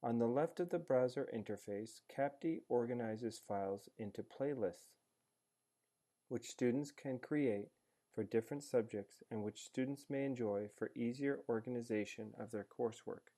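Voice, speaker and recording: Male narrator for a step-by-step instructional screencast; soft-edged, mellow tone, measured explanatory read. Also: medium pitch. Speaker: narrator of a software tutorial. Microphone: screencast voice-over.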